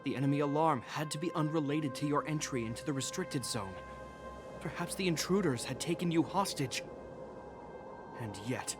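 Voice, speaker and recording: A man's voice: fairly high pitch, moderate pace, close to an everyday voice. A man playing a dutiful young guard captain in a video game, speaking in a formal, commanding, grave way. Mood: grave, disappointed. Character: stern, dutiful young male guard captain, wounded but unyielding. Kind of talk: dramatic video game voice acting. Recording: game music under the voice.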